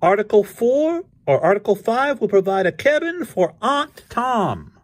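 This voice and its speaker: A man's voice: sits high, sing-song, unhurried, voice pushed up, heavily acted. A man voicing a naive, cheerful, questioning cartoon cat in a comic strip read aloud. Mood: eager.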